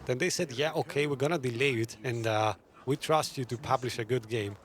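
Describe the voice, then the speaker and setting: A man's voice: medium pitch, fairly quick. A man chatting casually as a gamer over gameplay. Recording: game noise behind the voice.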